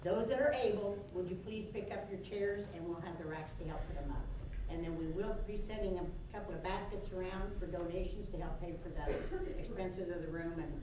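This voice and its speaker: A woman reading club announcements in a plain, slightly halting way. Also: lowish voice, lo-fi sound. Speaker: meeting organizer. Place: community meeting room. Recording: very echoey room, far from the mic, noisy.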